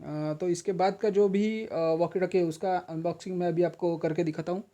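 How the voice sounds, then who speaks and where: A man's voice: high-pitched, steady tone, normal speed, highs cut off, background noise. A man with an Indian accent giving a casual, hesitant unboxing explanation as a video host, instructing and repeating his reminders. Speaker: video host and product reviewer.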